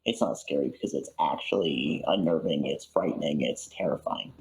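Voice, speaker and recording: A man speaking as a guest in a casual interview, in a halting way that repeats words for emphasis. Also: rumbling low, darkish tone, rough recording. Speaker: interview guest, audiobook voice actor.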